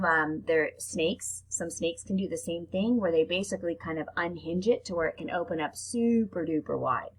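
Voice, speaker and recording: A woman reads nonfiction aloud in an expressive, informal way, like reading a book to children. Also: deep voice, husky, poor audio. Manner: expressive, informal reactions between passages. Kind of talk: read-aloud narration with casual spoken asides.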